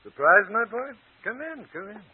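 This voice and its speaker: An actor in an old-time radio drama playing a genially condescending older doctor. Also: male voice, high-pitched, sing-song, normal speed, breathy edge, full character voice. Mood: suavely genial. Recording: old radio show, phone-like, noisy.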